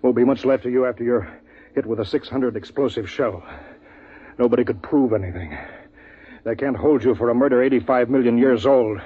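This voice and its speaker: A man acting a blustering, spiteful coward on a hunting trip in an old radio play. His voice is husky and rough, full of accusation and threat. The recording sounds dull and dated. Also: medium pitch, a little fast, natural voice. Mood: menacing, smug. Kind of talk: 1950s radio drama acting.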